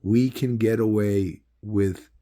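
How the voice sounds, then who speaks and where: A man who is losing his voice, telling a long personal story in a rambling, halting way with many fillers. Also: lowish voice, steady tone, mellow. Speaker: video host. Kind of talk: casual personal storytelling to camera.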